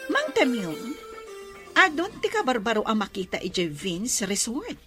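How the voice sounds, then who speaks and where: A bossy, wealthy madam in an Ilocano radio drama. Her high voice is lively and slow, stretching words imperiously. The accent is Ilocano and the sound is dry. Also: music in the background. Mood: businesslike.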